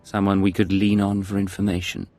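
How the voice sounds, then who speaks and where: An actor playing a hard, terse undercover intelligence agent in a video game, cool and controlled. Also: male voice, deep voice, monotone, brisk, darkish tone, close to an everyday voice. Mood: cool intimidation.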